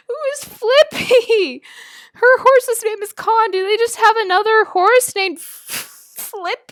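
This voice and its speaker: A woman reading a story aloud and breaking off for casual, giggly, animated reactions of her own. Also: very high-pitched, no room sound, studio quality. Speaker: video creator reading and reacting to fan fiction.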